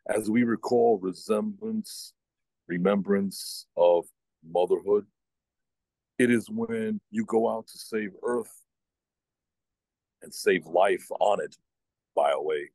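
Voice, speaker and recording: A man's voice: fairly low pitch, slow pace, pauses often, dark tone, no echo, low-quality recording. A man in his forties reading poetry into a phone held at arm's length on a video call. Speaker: poet.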